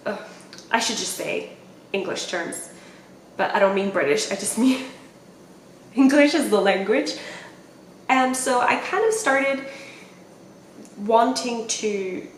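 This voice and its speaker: A woman's voice: mid-range voice, roomy sound, slight background noise, poor audio. A woman in her thirties from Puerto Rico, a native Spanish speaker, chatting casually to camera as a podcast host and often correcting herself. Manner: chatty, rambling, self-correcting. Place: small indoor room with plain walls.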